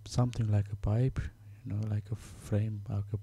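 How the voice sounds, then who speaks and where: A man with a Romanian accent, Romanian his first language, talking through a software tutorial step by step, halting with many fillers as he works. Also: on the low side, level tone, slightly rough. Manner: halting, many fillers, thinking aloud while working. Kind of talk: screen-recorded software tutorial.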